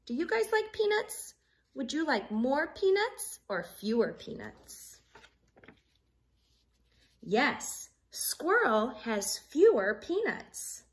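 High-pitched woman reading slowly to children. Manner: expressive, sing-song storytelling with questions addressed to young listeners. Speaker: teacher reading a picture book aloud to children. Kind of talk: children's read-along with simple math questions. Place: living room at home.

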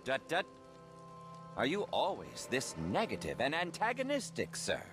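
A man's voice: mid-range voice, wildly swooping pitch, moderate pace, music in the background. A man playing a serene, sanctimonious cult preacher in a video game, talking in a pompous, theatrical way. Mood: condescending. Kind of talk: video game character acting.

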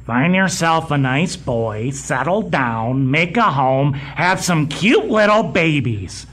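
An actor playing a fussy, condescending middle-aged museum boss in a comedic audio drama, with a pompous, stammering delivery. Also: male voice, fairly high pitch, fairly bright tone, husky, exaggerated voice. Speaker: museum supervisor.

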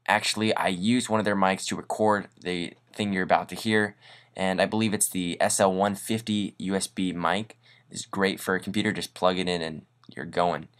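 Low-pitched man, casual tutorial host. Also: fairly even intonation, normal speed, a bit bright. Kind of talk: casual screencast software tutorial. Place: voice-over on a screen recording.

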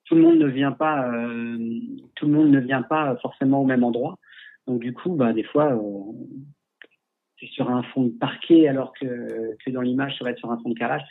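A man speaking over a thin, phone-like line, his voice a little husky. He is a photographer answering viewer questions in a casual livestream chat. He talks through a headset and stumbles often, circling back with fillers and restarts. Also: medium pitch, lively intonation, moderate pace. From France. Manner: hesitant, many fillers and restarts. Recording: husky, tinny call audio.

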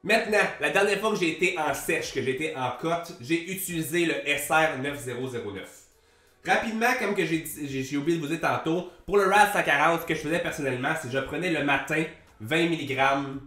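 A young Québécois man with a head cold talks quickly and nasally, with his hands flying, as a fitness coach vlogging to camera. His voice sits a bit high for a man. A boom-arm desk mic picks him up in a small room with some echo. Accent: Québécois French. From Quebec. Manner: fast, animated, swears casually, gestures a lot. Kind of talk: casual vlog talk to camera. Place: small room with a plain grey wall. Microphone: large desk mic on a boom arm.

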